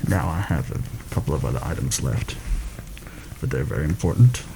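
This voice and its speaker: A man playing a shrewd, secretive mercenary planner in a soft-spoken ASMR fantasy roleplay, confiding and deliberate in a slightly formal way. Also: lively intonation, noisy background, exaggerated voice. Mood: conspiratorial, measured. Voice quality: low, gravelly, soft-spoken. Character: shrewd, secretive male mercenary plotting a royal assassination.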